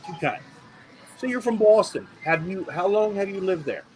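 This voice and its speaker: A man hosting a casual podcast chat, animated and excitable when telling a story. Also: high voice, normal speed, faint music behind, poor audio. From rural area. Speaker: podcast host.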